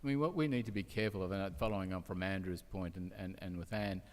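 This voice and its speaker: A man's voice: low-pitched, fast. A man, speaking earnestly as a panelist in an echoey hall. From Australia, part of childhood in Turkey. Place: large indoor event hall. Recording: echoey hall public address sound.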